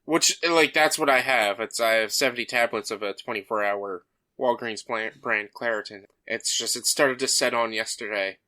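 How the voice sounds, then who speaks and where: A man's voice: mid-range voice, moderate pace, pushed and bright. A man co-hosting a podcast, chatting loosely with lots of fillers and running through the night's agenda. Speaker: podcast co-host. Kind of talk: casual chat.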